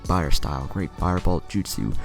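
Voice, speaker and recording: A man's voice: sits low, animated, moderate pace, gravelly, over music. A man voicing a brash, taunting teenage boy ninja in an anime fan story. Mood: battle-ready.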